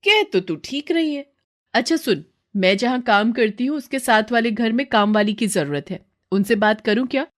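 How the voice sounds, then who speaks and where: A woman's voice: on the high side, lively intonation, moderate pace, voice pushed up, lightly acted. A woman voicing a helpful, frank neighbour who works as a maid, chatty and obliging, in a story.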